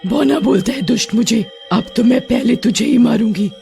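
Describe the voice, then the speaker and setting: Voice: mid-range voice, normal speed, vocal fry, voice pushed up, lightly acted. A performer voicing a short, hot-tempered witch who threatens and bosses in a cartoon horror story. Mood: angry. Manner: threatening, cackling, bossy. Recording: loud music under the voice.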